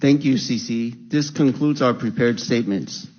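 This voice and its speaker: A man's voice: medium pitch, moderate pace, darkish tone, a little hiss or hum. A man moderating a corporate earnings call with polite, formal, procedural delivery. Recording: dull band-limited conference call audio.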